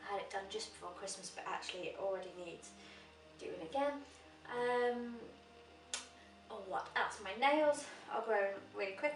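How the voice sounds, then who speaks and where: A young woman, slightly croaky from a cold, chatting casually through a pregnancy diary vlog with many "um" fillers. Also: a little high, a little hiss or hum. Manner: chatty, frequent "um" fillers, matter-of-fact. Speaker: vlogger. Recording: echoey room, mic at a distance.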